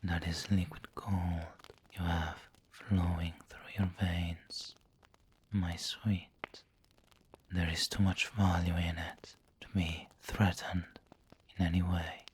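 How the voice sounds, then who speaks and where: A man playing a refined, possessive vampire lord who dotes on the listener in an intimate, whispery ASMR roleplay, speaking formally and deliberately. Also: deep voice, flat delivery, slight background noise. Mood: possessive, doting. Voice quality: breathy, whispery, husky. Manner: slow, deliberate, formal, pausing mid-phrase. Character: aristocratic male vampire lord, possessive, doting, refined and snobbish.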